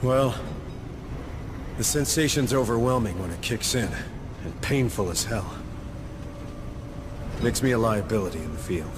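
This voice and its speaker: An actor playing a weary, sardonic middle-aged marine sergeant in a video game, with a gruff, wry, blunt manner. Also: male voice, on the low side, animated, unhurried, long pauses, noisy background. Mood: resigned. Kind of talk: cinematic video game acting.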